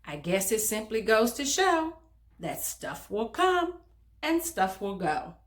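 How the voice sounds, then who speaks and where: A woman reads a children's storybook aloud as host, in an expressive, emphatic manner that speaks directly to young listeners. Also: on the high side, slow pace. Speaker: children's book reader and host.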